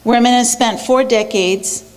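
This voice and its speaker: A woman moderates a panel and reads out a guest's introduction briskly and with an upbeat tone. Her voice sits a little higher than average. The long reverb of a lecture hall hangs around every word. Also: steady tone, a little mellow. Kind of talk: reading a speaker introduction.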